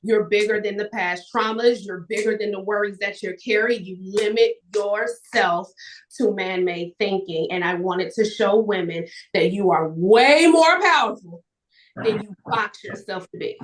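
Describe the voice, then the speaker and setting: A woman talks as a motivational guest on a live stream. Her delivery is emphatic and animated, projecting clearly like a storyteller, at a middling pitch. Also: a little slow, rough recording. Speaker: guest author and entrepreneur.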